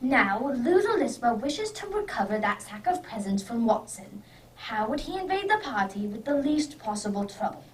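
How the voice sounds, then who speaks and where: A woman playing a brisk, impatient, clever male detective with theatrical emphasis in an amateur radio drama. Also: on the high side, big pitch swings. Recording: distant microphone, background noise.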